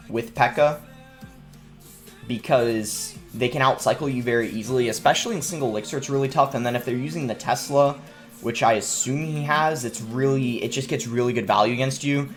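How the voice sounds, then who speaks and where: Young male streamer, mid-pitched, congested from a cold, easygoing play-by-play over soft game music. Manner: relaxed, explanatory play-by-play with many fillers. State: sore throat and stuffy nose from a cold. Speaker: gaming streamer. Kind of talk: live gameplay commentary. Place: indoor room at a gaming desk.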